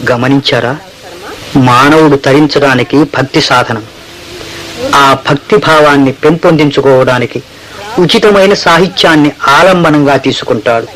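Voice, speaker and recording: A man's voice: medium pitch, fairly bright tone, close to an everyday voice. A man playing a cautious, learned court musician in a radio play, with a formal, courtly, eloquent delivery. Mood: admiring, thoughtful. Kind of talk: Telugu radio play acting. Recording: noisy old radio play recording.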